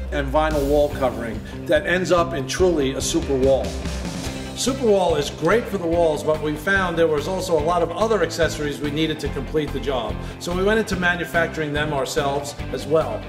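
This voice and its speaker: A man in his fifties giving a promotional talk as a company representative. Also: fairly high pitch. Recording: echoey room with music behind. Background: plucked guitar music.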